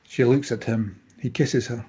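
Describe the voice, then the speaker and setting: A man with a slightly husky voice narrating stage directions from a screenplay at a table read. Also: medium pitch, steady tone, measured pace, dark tone, highs cut off.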